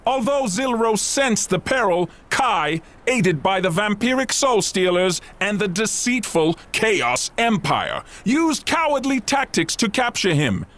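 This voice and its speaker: A man's voice: high voice, big pitch swings, a little slow, a bit bright, exaggerated voice. A man playing a pompous, loyal propaganda herald, declaiming scripted empire news in a game. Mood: solemn, rousing. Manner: grandiose, declamatory.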